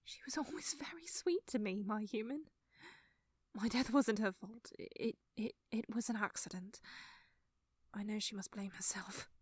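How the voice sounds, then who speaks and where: A woman voicing an earnest, emotional young heroine with dramatic delivery in an animated drama. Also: medium pitch, measured pace, husky, no room sound, studio quality. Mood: sorrowful. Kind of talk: dramatic character acting.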